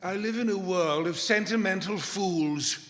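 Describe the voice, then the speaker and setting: An actor playing a bitter, miserly old businessman in live radio theater, gruff, scornful and emphatic. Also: high-pitched, measured pace, fairly bright tone, a little hiss or hum. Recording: roomy hall with long reverb.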